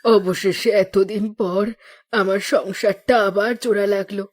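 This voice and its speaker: A woman's voice: mid-range voice, steady tone, a little slow, soft background music, close to an everyday voice. A woman voicing an older, family-minded, anxious mother-in-law in a moral cartoon. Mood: relieved. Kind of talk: Bengali moral cartoon voice acting.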